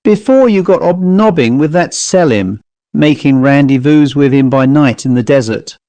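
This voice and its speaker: A man's voice: a little high, very animated, measured pace, warm tone, no echo. A man voicing a self-possessed Cockney manservant with a controlled, wistfully reproachful manner in an audiobook story.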